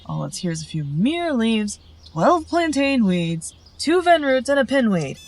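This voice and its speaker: A woman's voice: fairly high pitch, sing-song, a little slow, darkish tone. A woman playing a grumpy, stubborn animal herbalist in an audio drama, blunt and sardonic. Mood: irritated, sarcastic.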